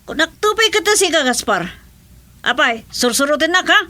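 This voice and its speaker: Feisty scolding ilocano woman, high sharp voice, swoops around a lot, radio drama. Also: big pitch swings, normal speed, a little hiss or hum, exaggerated voice. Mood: irritated. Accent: Ilocano Filipino.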